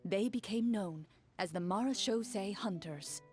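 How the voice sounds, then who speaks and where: A composed, reserved young swordswoman from a video game, voiced by a woman, running a tabletop game with calm, measured narration at a mid pitch. Soft music plays faintly underneath. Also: lightly acted. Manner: measured, calm, explains rules plainly. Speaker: game master. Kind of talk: game dub, calm storytelling.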